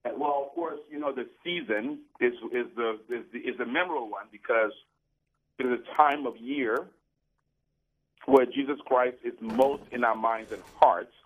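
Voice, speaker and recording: A man's voice: mid-range voice, normal speed, breathy edge. A man from Cameroon, a guest on a radio show, talking earnestly and preachily about his faith and often repeating words as he starts a thought. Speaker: guest, business program founder. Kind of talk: radio interview talk. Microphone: phone. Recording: tinny phone line.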